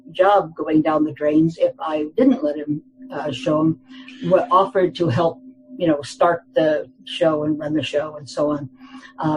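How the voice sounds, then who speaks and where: A woman's voice: low voice, darkish tone, a little hiss or hum, low-quality recording. A woman in her eighties, a guest telling rambling anecdotes in a casual interview, full of fillers and restarts, often laughing.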